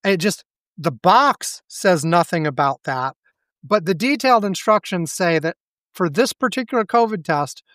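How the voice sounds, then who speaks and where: A man co-hosting a casual podcast chat, speaking with a scratchy throat and stuffy head, rambling and halting. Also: on the high side, a bit bright, no echo. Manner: rambling, halting, self-deprecating. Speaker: podcast co-host.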